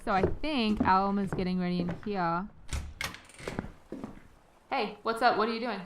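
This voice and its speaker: A woman's voice: mid-range voice, a little slow, noisy background, natural voice. A young woman playing a gossipy, catty teenage girl in a naturalistic radio drama, chatting casually. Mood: chatty. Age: young adult.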